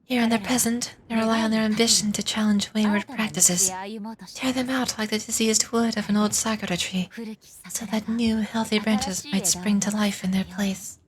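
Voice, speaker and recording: A woman's voice: medium pitch, fairly even intonation, moderate pace, a crowd in the background, lightly acted, low-quality recording. A woman playing a solemn, resolute immortal ruler and warrior in a video game, speaking in a formal, grave, declamatory manner. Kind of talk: video game dramatic voice acting.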